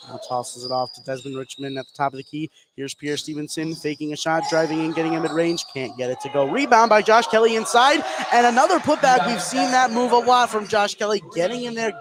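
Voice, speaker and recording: Male play-by-play basketball announcer, slightly high voice, live radio call over clear background noise. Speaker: play-by-play sports commentator. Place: indoor basketball gym, courtside. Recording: clearly noisy live gym ambience.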